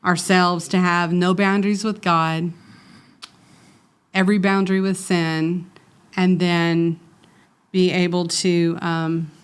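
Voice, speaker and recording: A woman's voice: lowish voice, little pitch movement, takes it slowly, pauses often, clean tone. Earnest woman hosting a faith talk. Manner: earnest, personal, testimony-like. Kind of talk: casual conversation.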